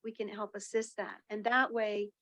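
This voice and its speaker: A woman's voice: mid-range voice, little pitch movement, normal speed, fairly bright tone. A woman chairing a meeting, chatty and welcoming, with many fillers.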